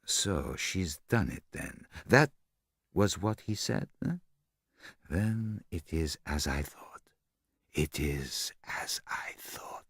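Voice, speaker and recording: Brief: male reader doing a hushed, precise, formal sleuth, slow and low, dry close sound. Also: animated, no echo. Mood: quietly pleased. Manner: formal, measured, quietly self-satisfied. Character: fastidious older male detective, soft-spoken and precise. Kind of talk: audiobook character voice.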